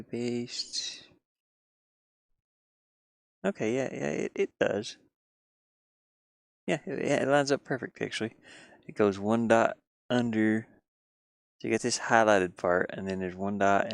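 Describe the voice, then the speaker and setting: Male game streamer, low gravelly voice, loose rambling chat, close and dry. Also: no room sound. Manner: rambling, mutters to himself while working. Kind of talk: casual live-stream chat.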